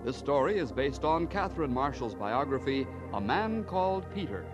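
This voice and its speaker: Animated male announcer over loud organ. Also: medium pitch, normal speed, highs cut off. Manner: formal, declamatory. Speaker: radio announcer. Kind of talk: mid-century scripted radio announcement. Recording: vintage radio, loud organ music behind. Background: loud organ music.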